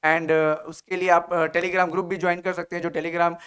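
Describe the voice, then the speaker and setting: High-pitched Indian male coaching teacher. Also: flat delivery, moderate pace, fairly bright tone, smooth. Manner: instructive, frequent check-in tags like "okay?" and "right?". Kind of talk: explanatory exam-prep lecture, Hindi mixed with English.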